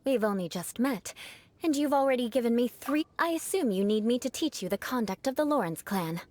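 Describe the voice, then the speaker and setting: A woman's voice: a little high, expressive, brisk, a little mellow, natural voice. Proud, vengeful young noblewoman knight for an anime game, played by a woman: formal, composed, lilting and theatrical.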